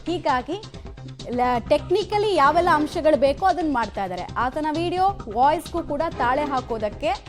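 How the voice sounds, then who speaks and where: A woman news anchor with a high voice and a Karnataka accent. She presents live bulletins emphatically and rhetorically, turning to reporters with questions. Music plays faintly behind her. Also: expressive, normal speed.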